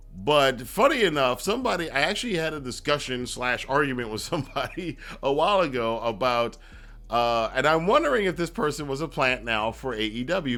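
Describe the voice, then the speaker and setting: A man with a medium-pitched voice hosts a wrestling review. He talks in a casual, conversational way, wandering into asides and repeating phrases for emphasis. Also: fairly bright tone.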